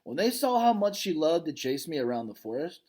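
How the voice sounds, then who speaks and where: A man voicing a gentle, weary, reluctant male silverback gorilla who tells his story reflectively in a storybook reading. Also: on the high side, big pitch swings, a little fast, slightly rough, voice pushed up.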